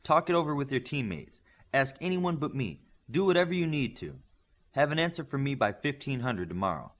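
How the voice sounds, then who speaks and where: A man's voice: medium pitch, sing-song, fairly quick, voice pushed up. A man voicing a stern, demanding superhero team coach in an audiobook, giving clipped, commanding instructions. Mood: emphatic.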